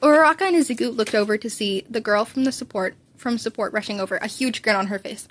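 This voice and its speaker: Woman, higher-pitched voice, amateur audiobook narration, storybook reading, occasional stumbles and repeats. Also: quick pace. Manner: stumbles over and repeats words. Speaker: fan fiction reader.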